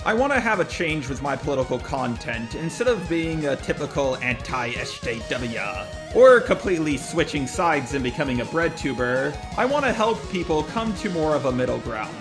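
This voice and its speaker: Male video host, scripted commentary, voice a touch high, clear music bed. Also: sing-song, moderate pace. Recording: music behind voice.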